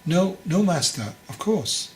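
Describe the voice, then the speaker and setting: A man's voice: high voice, sing-song, slow, darkish tone, echoey room, pitched above the performer's own voice, exaggerated voice, poor audio. A man voicing a fragile, anxious young would-be monk in a storybook, hesitant, earnest and deferential. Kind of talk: storybook character dialogue.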